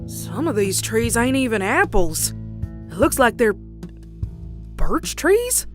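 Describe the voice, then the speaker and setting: A woman's voice: a little high, very animated, slow. Woman doing a young southern farm pony gal, plucky and folksy, horror cartoon dub, music behind. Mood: puzzled, concerned. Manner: folksy drawl. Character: young country farm mare, plucky, teasing, later uneasy narrator. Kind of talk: dramatic comic dub with narration.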